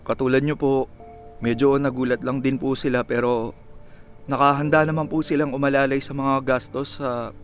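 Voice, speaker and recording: A man's voice: medium pitch, little pitch movement, normal speed, soft background music, close to an everyday voice. A man playing a polite, earnest, slightly nervous young boyfriend in a Filipino radio drama, hesitant and respectful. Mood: respectful, reassuring. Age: young adult.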